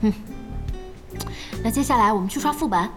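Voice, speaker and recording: Young female gamer played by an actress, high and very animated, nervy and excitable, faint music underneath. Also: fairly quick, lightly acted. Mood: curious, impressed. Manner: excitable, expressive, wide-eyed. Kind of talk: Chinese audio drama acting.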